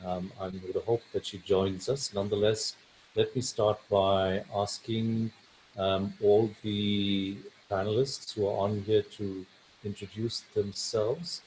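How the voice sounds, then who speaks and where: Hesitant low-voiced man hosting a call. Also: fairly even intonation, slow, a little mellow, slight background noise, poor audio. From South Africa. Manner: hesitant, many fillers. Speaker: webinar host, family physician. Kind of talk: casual hosting of a video call. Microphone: video call.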